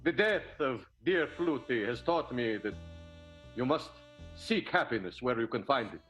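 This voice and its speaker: A man's voice: medium pitch, lively intonation, measured pace, fairly bright tone, low-quality recording. A man performs a dramatic, courtly wronged husband in an improvised 1920s radio soap. His mid-range voice swings widely through formal, theatrical phrases, with a drunken, stagey grandeur. Faint music plays behind him. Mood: solemn, resigned.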